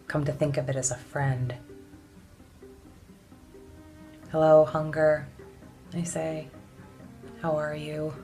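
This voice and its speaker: A weary but defiant suffragette played by a woman delivers a dramatic stage monologue in a low-pitched voice. She speaks very slowly and deliberately, with intense weight and heavy pauses. Faint music sits behind her. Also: little pitch movement, warm tone. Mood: aching, subdued.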